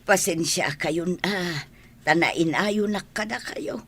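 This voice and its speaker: A woman with an Ilocano accent playing a gentle, long-suffering elderly mother in a radio drama. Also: lowish voice, slow, breathy edge, heavily acted. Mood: humble, weary. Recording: noisy ambience behind the voice.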